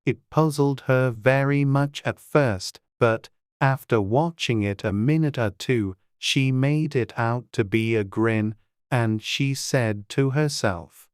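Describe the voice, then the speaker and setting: Audiobook narrator: mid-pitched, crisp and smooth, scripted storybook reading in a dry, close recording. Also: slow pace, no room sound.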